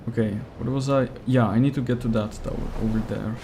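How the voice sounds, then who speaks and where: A man with a Czech accent whose native language is Czech, streaming a game live and chatting with viewers, often pausing to search for English words. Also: medium pitch, fairly even intonation, gravelly, background noise. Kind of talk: casual live gameplay stream, answering chat.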